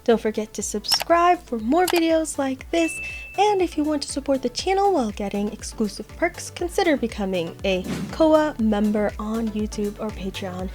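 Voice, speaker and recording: A woman's voice: fairly high pitch, lively intonation, normal speed, darkish tone, soft background music. A woman hosting a casual tech walkthrough, talking in a conversational, opinionated way. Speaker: host of accessibility tech tutorial videos.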